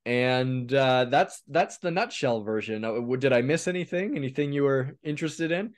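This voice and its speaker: A man in his thirties from eastern Oregon, a guest on a podcast, talking in a relaxed, halting way with many pauses and a voice a little raspy from a cold. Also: medium pitch. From eastern Oregon, USA. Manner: relaxed, hesitant with frequent ums. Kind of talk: casual interview chat.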